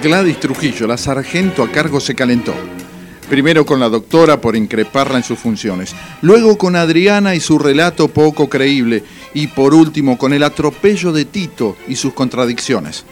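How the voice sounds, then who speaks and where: A man narrates a comic radio drama from a script. His voice sits at a middle pitch. Light music plays beneath him. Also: animated, moderate pace.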